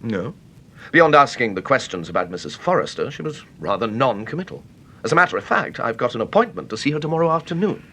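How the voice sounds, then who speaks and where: Radio mystery role: agitated, well-spoken British widower, rough-edged voice, wildly swinging intonation. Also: male voice, mid-range voice, big pitch swings, fast, a bit bright, full character voice. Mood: uneasy, candid. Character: anxious, agitated English widower, Mister Nelson. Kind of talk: radio mystery drama acting.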